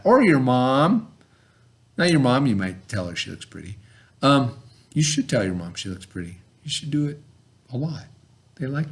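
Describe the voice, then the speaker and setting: A male schoolteacher with a slightly high voice gives an informal read-aloud lesson. He explains in a conversational way, as if to a child, and often checks that the listener is following. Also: a bit bright.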